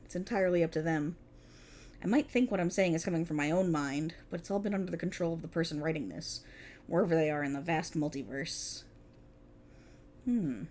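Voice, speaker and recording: Woman acting as female cop, prickly, exasperated, wry and bossy, lively swooping pitch, audio roleplay. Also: lightly acted. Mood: resigned, wry. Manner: wry, bossy, explanatory, emphatic. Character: prickly, exasperated female officer of a fictional protagonist police. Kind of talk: scripted ASMR roleplay, one-sided dialogue.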